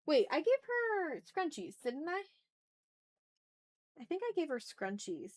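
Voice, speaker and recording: A woman's voice: high-pitched, wildly swooping pitch, measured pace. Woman thinking aloud on a casual stream. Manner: informal, mutters to self, mild swearing. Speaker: art livestream host. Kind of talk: casual rambling chat while drawing.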